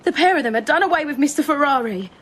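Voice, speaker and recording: An actress playing an agitated working-class wife in a radio drama, urgent and emphatic but deferential. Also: female voice, high voice, expressive, fast talker, a bit bright. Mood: distraught, urgent.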